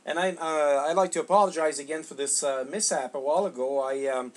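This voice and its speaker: A middle-aged man from Canada talking as an amateur radio operator in a halting, polite way. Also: a little high. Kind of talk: unscripted ham radio exchange. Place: small home radio room.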